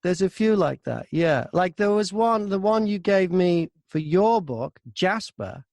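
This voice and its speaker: British man, audiobook narrator, fairly high voice, close dry sound, casual interview chat, animated storytelling. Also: unhurried, gravelly, no echo.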